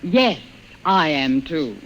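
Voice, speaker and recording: An actress playing a cool, dismissive secretary in a 1950s radio drama, giving curt, aloof replies. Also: female voice, low-pitched, sing-song, takes it slowly, a bit bright, full character voice. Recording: noisy 1950s radio recording.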